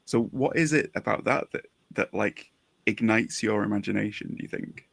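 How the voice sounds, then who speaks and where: A man with a low, gravelly voice co-hosts a conversation about his craft. The sound is dry and close, and he talks in long, engaged explanations that turn into questions. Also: moderate pace, fairly bright tone, no echo. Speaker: podcast co-host, writer. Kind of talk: conversational interviewing.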